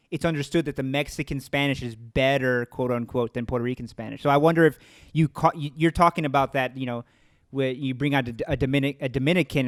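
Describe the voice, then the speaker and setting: A man hosting a casual podcast, chatty and quick to agree before adding his own point. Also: mid-range voice, no room sound, clean studio sound. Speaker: podcast host.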